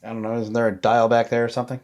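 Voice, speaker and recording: A man's voice: mid-range voice, monotone, rapid-fire, a little mellow, close to an everyday voice. A man playing an irritated, sarcastic suburban father who scolds in a clipped, exasperated way.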